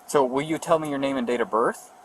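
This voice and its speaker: A man's voice: mid-range voice, fast talker. A man working as a police officer, giving firm, procedural instructions during a roadside traffic stop. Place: outdoors at a roadside by a vehicle. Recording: noisy roadside body-camera audio.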